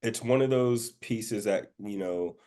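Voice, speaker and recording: Man, lowish pitch, from the southern US, casual conversational storyteller, informal phrasing, interview guest. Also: slow, warm tone. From southern United States, rural Georgia and Florida Panhandle.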